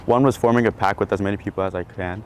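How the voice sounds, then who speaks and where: A young man tells a rambling, casual story, filling his sentences with "like"s. His voice sits fairly low for a man, with a faint hiss underneath. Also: fairly even intonation, fast. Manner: rambling with fillers. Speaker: game winner. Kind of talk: casual interview.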